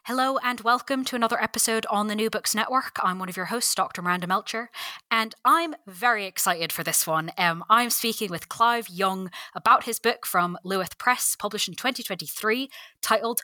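A woman hosts a book interview in an upbeat manner, her voice bright and forward. She fills her pauses with frequent ums, and the sound is dry and close. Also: medium pitch, no echo. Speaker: podcast host. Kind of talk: interview hosting.

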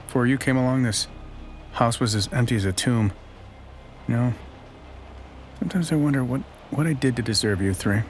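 A man's voice: mid-range voice, normal speed, long pauses, slight background noise, close to an everyday voice. A man as an affectionate, apologetic husband. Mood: apologetic, grateful. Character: affectionate, apologetic husband home late from work. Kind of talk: audio horror drama acting.

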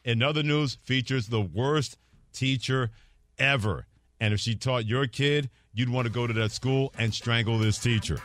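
A man's voice: medium pitch, normal speed, fairly bright tone, dry recording, studio quality. A man hosting a sports radio show, chatting casually with an easygoing, affirming manner.

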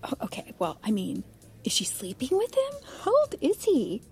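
A woman playing an excitable, giggly married girlfriend in an audio drama, with a gossipy, animated delivery. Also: a little high, normal speed. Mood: curious, scandalized. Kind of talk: naturalistic audio drama dialogue. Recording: music playing behind the voice.

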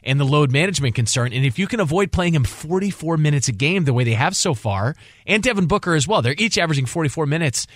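A man's voice: fairly high pitch, animated, fast talker, no room sound, studio quality. An American man co-hosting sports radio, talking through analysis in a conversational, wordy, explanatory way.

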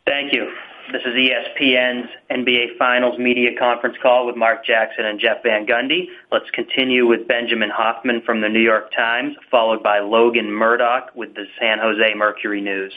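Flat-toned male call moderator over the phone. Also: mid-range voice, moderate pace. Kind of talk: formal announcing. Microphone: telephone. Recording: conference phone line.

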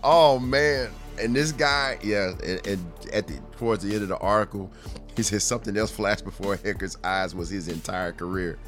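A man's voice: lowish voice, moderate pace, a bit bright, soft background music. Man casually telling rambling sports stories. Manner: rambling, conversational, chuckling asides. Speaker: podcast host.

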